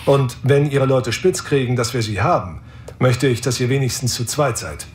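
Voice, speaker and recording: An actor voicing a starship captain who gives orders firmly in a sci-fi audio drama. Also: male voice, medium pitch, normal speed, slight background noise, natural voice. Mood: commanding.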